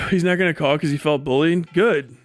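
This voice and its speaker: A man's voice: a little high, moderate pace, dry recording. A man, casually ranting as a live streamer in a sweary, incredulous, mocking way. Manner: breaks often into laughter while talking. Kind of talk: casual rant and commentary to a stream audience.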